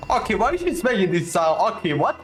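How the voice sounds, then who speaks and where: A man's voice: sits high, sing-song, fairly quick. Chatty young man reacting to a game. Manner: informal, chatty, easily baffled. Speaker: gaming streamer. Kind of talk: casual game reaction commentary. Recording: game music behind the voice.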